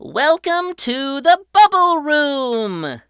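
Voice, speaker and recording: A woman voicing an excited young boy who shows off his inventions, calling out like a showman. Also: extremely slow, dry recording, clean studio sound, heavily acted. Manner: shouty and showman-like, booming announcements.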